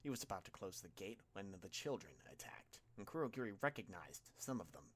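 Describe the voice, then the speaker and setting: Low-voiced man narrating dramatic fiction. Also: big pitch swings, a little fast, fairly bright tone. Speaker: audiobook narrator of fan fiction.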